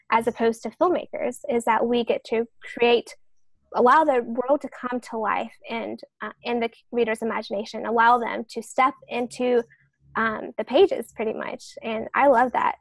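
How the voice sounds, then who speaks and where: A woman's voice: on the high side, fairly even intonation, moderate pace, creaky, no room sound, poor audio. A woman explaining the craft of writing as a podcast panelist, in an earnest, explanatory way.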